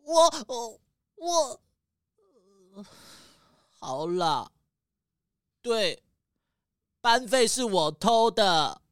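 A woman's voice: on the high side, sing-song, drawn-out words, long pauses, no room sound, full character voice. A woman playing a nervous, guilt-ridden young pony schoolkid in a children's detective audio drama, hesitant and pleading. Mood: guilty, confessing. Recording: loud music behind.

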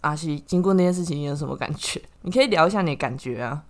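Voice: medium pitch, lively intonation, very fast. A podcast host with a Taiwanese Mandarin accent, chatting casually while explaining things in a reflective way. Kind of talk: casual chat.

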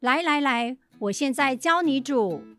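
A woman playing a high-pitched, chatty neighbourhood auntie. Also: lively intonation, a little slow, bright, forceful tone, faint music behind, heavily acted. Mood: helpful, chatty. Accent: Taiwanese Mandarin with Hokkien. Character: Auntie Ah-Jin, chatty, helpful neighbourhood fish-selling woman. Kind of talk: radio drama acting.

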